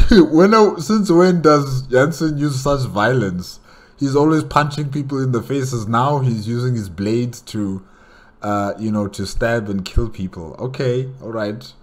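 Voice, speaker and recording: A man hosting a reaction video, talking through a casual recap and thinking aloud in a halting way. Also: mid-range voice, a little hiss or hum.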